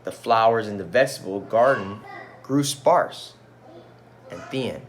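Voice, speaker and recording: A man's voice: on the low side, measured pace. A man reading a picture book aloud as a teacher, with casual asides to young listeners.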